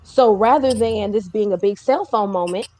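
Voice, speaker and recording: A woman's voice: mid-range voice, normal speed, dark tone, dry recording. Woman hosting, emphatic and chatty. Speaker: host. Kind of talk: casual interview chat.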